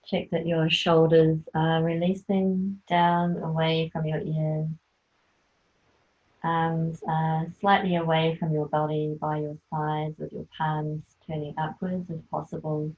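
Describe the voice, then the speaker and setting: Woman teacher, guided relaxation: low, flat and unhurried, soft and soothing, thin phone-like sound. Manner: slow, soft, soothing instruction. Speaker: yoga nidra teacher.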